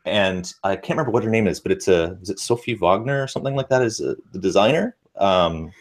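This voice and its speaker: Man, thirties, Ontario Canadian accent, low voice, casual video-call chat, headset. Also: quick pace. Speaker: guest. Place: home room with bookshelves.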